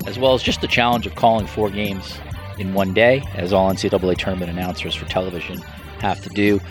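A man's voice: deep voice, fairly even intonation, fast, over music. A middle-aged man hosting a podcast in a conversational style, hesitant and trailing off at phrase ends. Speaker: podcast host.

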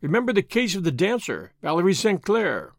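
A man's voice: high voice, huge pitch swings, brisk, no room sound, studio quality, heavily acted. A man doing a pompous Belgian detective. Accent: French. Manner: pompous, precise, self-satisfied. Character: fussy vain Belgian male detective. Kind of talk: audiobook character voice.

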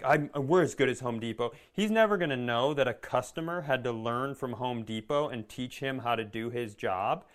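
Clear-voiced man telling sarcastic comic stories. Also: medium pitch, a bit bright. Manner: sarcastic, swearing, acts out dialogue. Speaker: comedy podcast co-host. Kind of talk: comedic storytelling in casual chat.

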